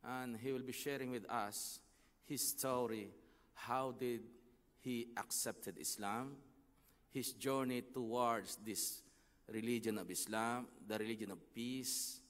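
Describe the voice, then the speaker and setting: A middle-aged man hosting a lecture, introducing the speaker in a measured way with many pauses, speaking through a desk microphone on an outdoor stage. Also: mid-range voice, steady tone, a bit bright. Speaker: host. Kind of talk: formal introduction. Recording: long reverb of a large open venue.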